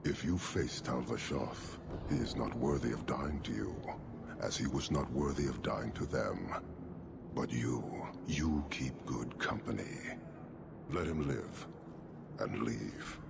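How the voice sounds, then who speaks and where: Gravelly, abyss-deep giant warlord. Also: male voice, very noisy, heavily acted. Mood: grave judgment. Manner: slow, grave, imperious, measured. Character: stern, contemptuous horned giant male warrior leader. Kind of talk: video game acting.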